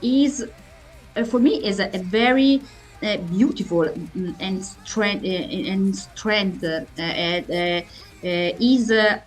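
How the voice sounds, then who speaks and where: Slow, halting woman interviewer. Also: medium pitch, soft background music, poor audio. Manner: halting, searching for words in non-fluent speech. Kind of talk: interview questions.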